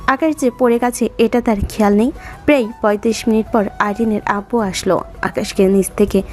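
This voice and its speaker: A woman's voice: mid-range voice, a little mellow. A woman reading scripted dramatic story narration as the narrator. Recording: music under the voice.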